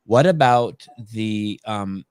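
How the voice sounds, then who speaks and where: A man hosting a casual interview podcast, a native Armenian speaker, talking in a halting way with repeated phrases and restarts. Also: on the low side, darkish tone, clean tone, dry recording, studio quality. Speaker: podcast host.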